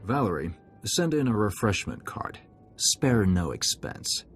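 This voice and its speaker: A man's voice: fairly low pitch, sing-song, unhurried. A man playing a formal, officious corporate director in a video game, with a polished manner. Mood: ingratiating. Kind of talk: video game cutscene acting. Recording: music behind the voice.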